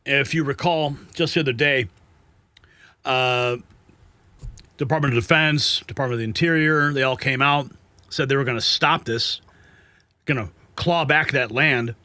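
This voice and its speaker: Bright, sarcastic male news host. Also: medium pitch, fairly even intonation, normal speed, pauses often, no room sound. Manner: sarcastic, scoffing asides. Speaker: online news show host. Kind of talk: opinionated news commentary.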